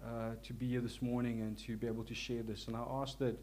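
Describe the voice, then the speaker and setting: A man's voice: mid-range voice, level tone, a little hiss or hum. A man with a South African accent gives a sermon to a church congregation. He speaks earnestly and humbly, stopping and restarting often as he feels for his words. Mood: prayerful. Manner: prays softly and slowly. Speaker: lay preacher.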